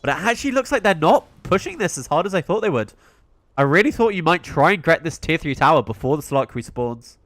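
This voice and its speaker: A young man with a British accent casts a video game match on his own, calling the action and breaking off to answer his stream chat. His voice sits a little higher than most men's. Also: sing-song, a little fast, a bit bright. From Britain. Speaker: esports caster. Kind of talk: solo play-by-play commentary on a live stream.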